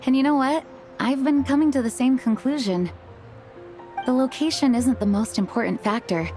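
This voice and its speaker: An actress playing a calm, dutiful female knight leader who speaks in a measured, kind way. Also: high-pitched, lightly acted. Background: soft game music.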